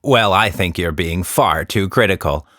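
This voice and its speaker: A man's voice: low voice, measured pace, fairly bright tone, no room sound, studio quality. A man voicing a cheerful, earnest monkey with proud musical leanings in a children's story. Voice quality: slightly out-of-tune singing.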